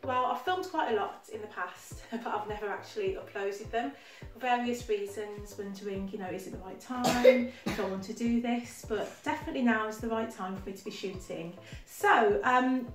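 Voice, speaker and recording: A young woman with a Birmingham accent talks through her haul in a bright, chatty way, dotted with laughs and ums. Her voice sits a little high and sounds a step back from the camera in a roomy living room. Faint music plays underneath. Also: normal speed, distant mic. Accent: Birmingham (Brummie). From West Midlands, England. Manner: chatty and enthusiastic, laughs often, many ums. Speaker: vlogger, makeup artist. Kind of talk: casual haul video. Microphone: camera mic at a distance. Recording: roomy echo from off-mic.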